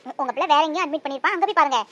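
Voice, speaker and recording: A woman's voice: high-pitched, very animated, quick pace, highs cut off. A woman playing a young mother who fiercely guards her child. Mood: angry. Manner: coaxing to her child, sharp when confronted. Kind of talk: Tamil drama acting.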